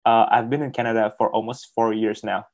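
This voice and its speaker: A young man who speaks English as a second language, telling his story as a guest on a video call, halting and repeating words with many fillers. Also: lowish voice, little pitch movement, muffled recording. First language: not English. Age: young adult. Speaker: interview guest. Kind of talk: casual interview on a video call.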